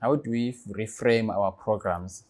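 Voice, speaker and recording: A podcast host interviewing a guest, with steady affirming backchannels and open questions. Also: female voice, rumbling low, unhurried. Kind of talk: interview conversation.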